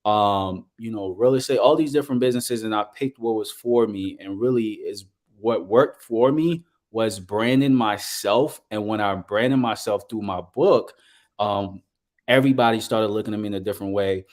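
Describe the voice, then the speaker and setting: A man's voice: on the low side, a little mellow. A man from Liberia in his thirties, a guest business coach, speaking earnestly and steadily with many fillers over a video call. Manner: earnest, measured, with frequent fillers. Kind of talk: casual interview talk.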